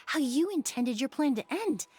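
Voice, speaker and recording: Calm, articulate young female perfumer. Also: sits high, normal speed. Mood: firm, regretful. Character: composed, principled young woman perfumer and investigator. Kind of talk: scripted video game acting.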